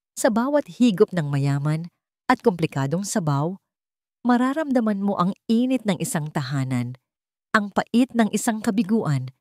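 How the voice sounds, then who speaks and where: A woman's voice: on the low side, a little mellow, no echo, studio quality. A woman reading a scripted, dramatic story as narrator.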